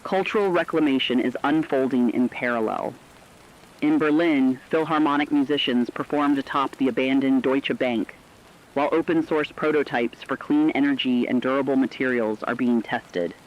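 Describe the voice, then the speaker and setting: A man's voice: mid-range voice, normal speed, lightly acted. A man playing a sober radio field correspondent, giving a scripted report on a collapsing world in a formal, earnest way. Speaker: news correspondent. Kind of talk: scripted dramatic news report. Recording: dull lo-fi radio sound.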